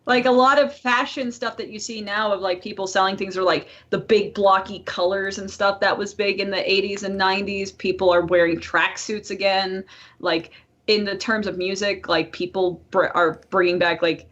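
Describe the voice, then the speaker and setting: Female podcast co-host, mid-pitched, chatty and informal, free with swearing, on slightly lo-fi sound. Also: moderate pace, fairly bright tone. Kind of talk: casual podcast chat.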